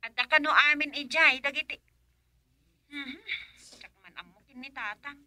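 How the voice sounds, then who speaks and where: Radio comedy role: chatty Filipino woman, actress, piercingly bright and high, recorded close and dry. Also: fairly even intonation, moderate pace, dry recording. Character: chatty young woman named Rosa on a call. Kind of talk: Ilocano radio comedy drama acting.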